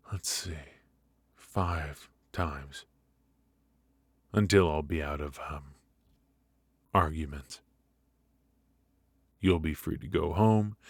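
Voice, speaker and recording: Man playing a very deep-voiced, menacing mafia boss. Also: breathy edge, dry recording, clean studio sound, full character voice. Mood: coldly menacing. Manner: slow, halting, mock-polite, softly taunting. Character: menacing, teasing male mafia boss, calmly threatening. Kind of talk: ASMR roleplay acting.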